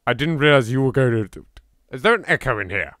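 A man's voice: mid-range voice, expressive, fast talker, fairly bright tone, dry recording, clean studio sound. A man with an English accent giving hammy, bantering, mock-posh commentary as a gaming host. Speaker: let's play host.

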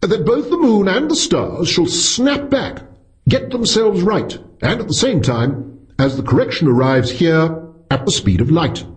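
Casting: pompous, self-assured male professor, played by a male reader, high-pitched, deliberate lecturing delivery for audiobook fiction. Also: sing-song. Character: pompous, triumphant male philosophy professor, sternly sure of himself.

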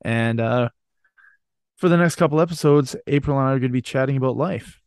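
A man chats as a podcast host in a mid-pitched voice. The recording is close and dry, and his delivery is casual and joking, wandering from thought to thought. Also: brisk, a little mellow, clear, resonant tone, no echo. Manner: joking, profane, rambling. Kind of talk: casual, bantering podcast chat.

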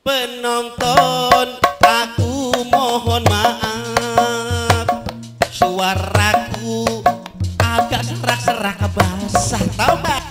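A man's voice: extremely high pitch, fairly even intonation, extremely slow. A middle-aged man, doing playful live comic banter and snatches of mock crooning on a stage microphone. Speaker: stage comedian. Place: outdoor village party stage. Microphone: handheld microphone. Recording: live stage PA with music behind.

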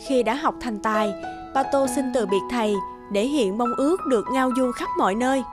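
Female storyteller, high voice, scripted children's tale narration, music behind. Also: a little fast.

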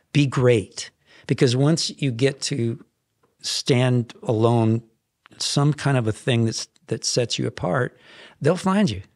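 A man in his fifties, a songwriter guest in a podcast studio, telling stories in a rambling, animated way with frequent restarts. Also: medium pitch, no room sound. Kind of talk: casual interview storytelling. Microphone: headphones and boom mic.

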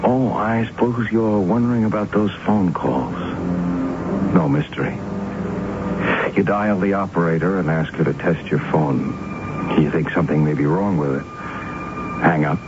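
Very deep-voiced, brooding lonely widower. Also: male voice, fairly even intonation, measured pace, pauses often, heavy background noise. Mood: brooding, resigned. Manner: rambling, asks himself questions and answers them. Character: lonely, self-justifying widower talking to himself, brooding. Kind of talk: 1970s radio drama acting. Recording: muffled old radio broadcast, loud music behind.

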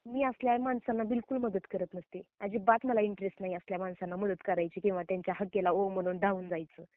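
A woman's voice: medium pitch, fairly even intonation, quick pace, dry recording. A woman with a Maharashtrian Marathi accent, scolding emphatically and indignantly in a heated phone argument. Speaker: prank call recipient. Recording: narrow tinny phone-call recording.

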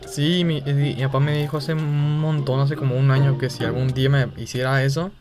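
A young man with a Mexican accent speaks in a casual, halting way, as if embarrassed to get to the point. Music plays clearly behind his voice. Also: mid-range voice, level tone. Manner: hesitant, halting, feigning embarrassment. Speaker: gaming video creator making a prank call. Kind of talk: casual phone chat.

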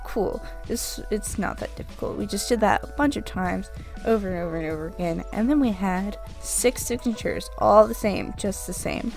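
A young woman with a stuffy, sniffly nose talks into a desk microphone in a casual, rambling voice-over. She jokes at her own expense in a mid-pitched voice while faint music plays underneath. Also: moderate pace, gravelly, a little mellow. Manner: rambling, self-deprecating, chatty. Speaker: craft vlogger.

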